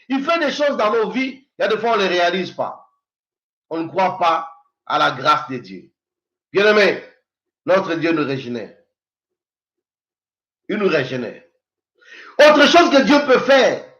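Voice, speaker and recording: A man in his fifties preaching a sermon as pastor, swinging from loud exhortation to hushed prayer. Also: sits high, reverberant, low-quality recording. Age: 50s.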